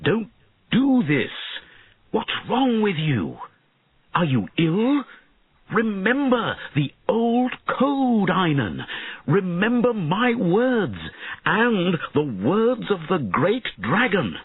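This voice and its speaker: A man voicing a principled older knight in a storybook, loudly admonishing and pleading. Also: mid-range voice, sing-song, very slow, hardly any pauses, breathy edge, no echo, voice pushed up, full character voice. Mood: pleading, stern. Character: stern, principled older knight, the boy king's mentor. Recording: narrow, phone-like lo-fi audio.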